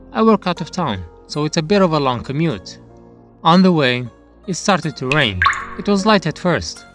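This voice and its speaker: Higher-voiced man narrating stories over music. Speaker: manga review channel narrator. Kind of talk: scripted storytelling narration.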